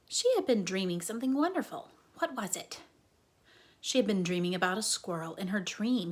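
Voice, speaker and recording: A woman reading a children's book aloud as a dramatic storyteller, often dropping into a breathy hush. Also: mid-range voice. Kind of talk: expressive children's book narration.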